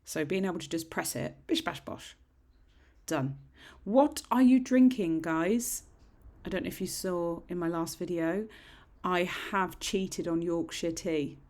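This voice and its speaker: A middle-aged woman with a husky, slightly croaky voice, chatting casually through a nail tutorial in a rambling, self-deprecating way. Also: fairly low pitch, a little slow, darkish tone. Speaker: nail technician. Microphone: desk microphone.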